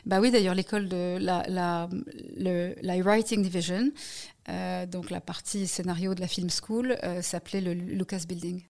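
A French woman in her forties, a guest in a conversational interview, talking in an animated way with many fillers and restarts. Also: lowish voice, moderate pace. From France. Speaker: guest, novelist and filmmaker.

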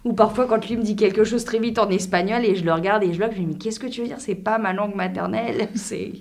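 A woman in her thirties from France, chatting casually as an interview guest, talking in quick bursts with many restarts. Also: medium pitch, darkish tone.

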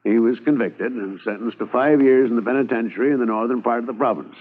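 A man narrating a 1950s radio drama in a lively storytelling way. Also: mid-range voice, quick pace. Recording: tinny old radio transcription.

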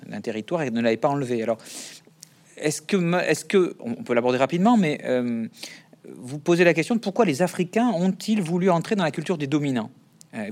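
A male interviewer putting long questions to a guest, pausing often and searching for his words. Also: mid-range voice, darkish tone. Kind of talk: radio or TV interview.

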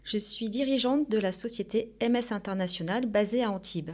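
A businesswoman in her forties speaks with a mid-range pitch, talking about her career in a lively, chatty way. She fills her sentences with hesitant pauses and restarts. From Paris region, France. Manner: lively, hesitant with many fillers. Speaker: company director, guest. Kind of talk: casual interview storytelling.

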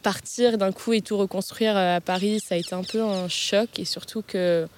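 A young woman in her mid-twenties from Lebanon talks over a handheld mic while sitting on the grass in a park. Her voice is mid-pitched, and she hesitates often, filling gaps and restarting her sentences. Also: steady tone, normal speed, a little mellow, slight background noise. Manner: hesitant, many fillers and restarts. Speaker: interview guest. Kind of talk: casual interview chat.

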